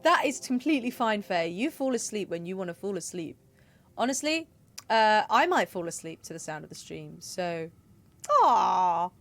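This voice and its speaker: A woman casually chatting and laughing while playing a game on stream, in a relaxed, playful way. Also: mid-range voice, moderate pace. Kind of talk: casual gameplay commentary.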